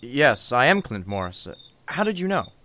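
A bright, hesitant radio-play attorney. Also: male voice, mid-range voice, big pitch swings, normal speed. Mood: puzzled. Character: puzzled, polite male district attorney. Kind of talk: old-time radio drama acting.

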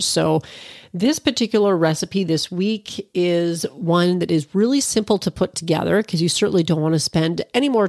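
A middle-aged woman from Canada hosting a casual solo chat, a little stuffy from allergies, rambling warmly with fillers. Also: on the low side, gravelly, no echo, clean studio sound. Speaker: podcast host.

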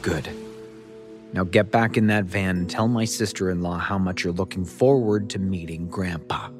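A man's voice: low voice, normal speed, faint music behind, natural voice. A man voicing a stern, protective father in a story, speaking in a firm, intimidating way. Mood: gruffly satisfied. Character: stern, threatening father, protective brother-in-law.

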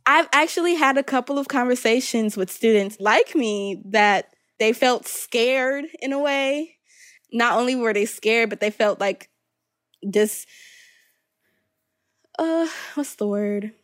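A young woman speaks casually as a student being interviewed. Her voice is on the high side, and the recording is dry with no room sound. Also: slow, fairly bright tone, no echo.

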